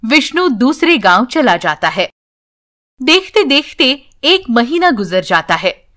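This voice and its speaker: A woman's voice: sits high, very animated, takes it slowly, a bit bright. A woman narrating a scripted bedtime story for children as a storyteller.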